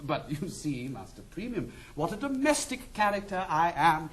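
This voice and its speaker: Breezy young english gentleman rake, high voice, swoops all over, very theatrical. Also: very animated, normal speed. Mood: playful, flippant. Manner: flamboyant, jaunty, theatrical. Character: carefree, spendthrift young English gentleman, good-natured rake. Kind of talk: classic stage comedy acting.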